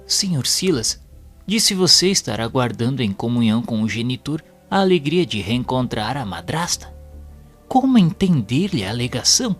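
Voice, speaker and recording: An actor playing an earnest, inquisitive spirit student in an audio drama, with a formal, respectful and expressive delivery. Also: male voice, medium pitch, normal speed, soft background music. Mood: earnest questioning. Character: earnest, inquisitive male spirit student who narrates his learning. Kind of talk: audio drama dialogue and literary narration.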